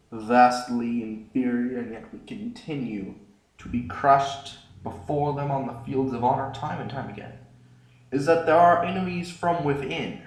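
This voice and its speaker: A man's voice: mid-range voice, natural voice. A man playing a haughty, paranoid revolutionary politician in a sci-fi audio drama, speaking in formal, pompous oratory. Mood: grave oratory. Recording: roomy, echoing sound.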